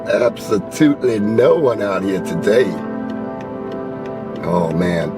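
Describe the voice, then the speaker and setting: A man in his fifties with a hoarse, cold-roughened voice, rambling casually to his camera outside by a road in the early morning. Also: fairly low pitch. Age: 50s. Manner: rambling, conversational, talks to the camera. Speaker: vlogger. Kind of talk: casual vlog monologue. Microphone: camera on a magnetic tripod.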